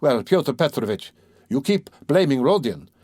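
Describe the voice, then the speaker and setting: A man's voice: mid-range voice, sing-song, normal speed, darkish tone, no echo, clean studio sound, pitched above the performer's own voice. A man reading aloud, voicing an older mother who speaks hesitantly but with dignity. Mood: timid dignity.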